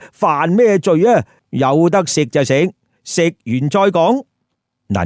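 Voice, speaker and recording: A man's voice: a little high, a little mellow, dry recording, studio quality. A man narrating a humorous story with commentary in a theatrical, colloquial, rhetorical way. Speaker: storyteller and host.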